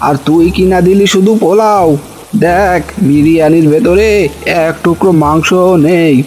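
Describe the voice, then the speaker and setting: A man voicing a grumbling older village uncle in an animated folk tale, with exclamatory, scolding delivery. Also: high-pitched, moderate pace, a little hiss or hum, natural voice. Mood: indignant scolding.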